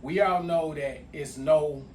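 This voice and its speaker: A middle-aged man hosting a podcast in relaxed, slangy casual chat. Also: fairly high pitch, takes it slowly. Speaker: podcast host. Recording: echoey room from a distant mic, noisy.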